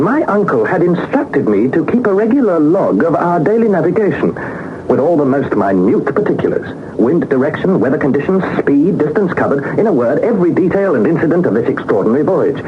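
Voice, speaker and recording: An earnest young explorer in an old radio serial. His fairly high, lively voice tells of the voyage in measured, dramatic phrases through a thin, noisy recording. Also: phone-quality sound. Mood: solemn wonder. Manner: measured, literary, dramatic storytelling. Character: earnest young nephew narrating his expedition log. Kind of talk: 1960s radio drama narration.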